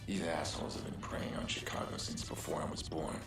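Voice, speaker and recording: An actor playing a brooding, bitter vigilante in a video game, gruff and terse in a gravelly near-whisper. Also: male voice, very deep voice, flat delivery, a little fast. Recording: echoey, distant game audio with music.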